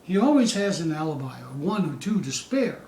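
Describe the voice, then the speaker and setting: A man giving a theatrical poetry recital, dramatic and suspenseful, with drawn-out phrases moving between hushed dips and loud peaks. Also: a little high, big pitch swings. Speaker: poetry reader. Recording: distant, echoey room with faint hiss.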